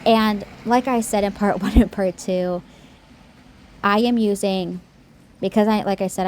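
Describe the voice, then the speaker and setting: A woman with a slightly lowered voice narrates her nail video in a chatty, rambling voiceover. Her throat sounds a little scratchy and sore, and she often restarts her sentences. A faint hiss sits under her voice. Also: a little mellow. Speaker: nail art YouTuber. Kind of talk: casual voiceover chat.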